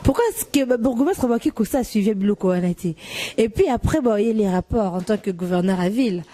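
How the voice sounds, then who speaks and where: A woman's voice: mid-range voice, fairly quick, mellow. A woman hosting an interview, asking questions in a conversational way with some hesitation. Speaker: interviewer, host.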